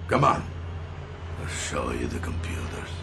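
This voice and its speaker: A man playing a gruff, shifty contact at a front business in a video game, talking bluntly and with animation. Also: a bass voice, big pitch swings, measured pace, full character voice. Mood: suspicious, irritated. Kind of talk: video game cutscene acting. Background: prominent music.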